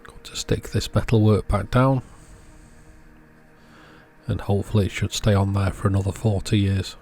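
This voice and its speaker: A man's voice: fairly low pitch, steady tone, normal speed, darkish tone. A man giving an informal how-to voice-over in short, matter-of-fact sentences, as a repair channel host.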